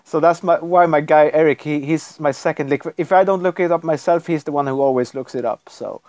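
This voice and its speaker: A man's voice: on the high side, brisk, a little mellow, no room sound. A man from Norway, chatting casually on a live stream at his computer, rambling and chuckling often. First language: Norwegian. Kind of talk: casual livestream chat.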